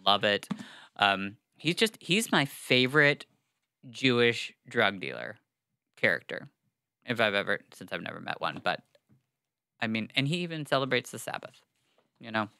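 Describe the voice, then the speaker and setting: A man's voice: fairly low pitch, sing-song, normal speed, gravelly, long pauses, sharp tone, smooth, no room sound. A young man co-hosting a podcast, chatting casually about a book with many fillers and restarts, on a studio mic. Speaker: podcast co-host. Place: small studio, seated. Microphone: studio mic on boom arm.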